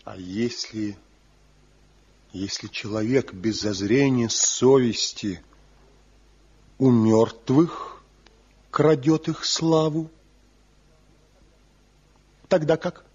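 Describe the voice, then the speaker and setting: An actor playing a proud, envious rebel woodcarver in a theatrical, declamatory Soviet radio drama style. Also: male voice, mid-range voice, very slow, pauses often, darkish tone, husky. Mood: passionate, questioning. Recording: dull lo-fi 1970 radio recording.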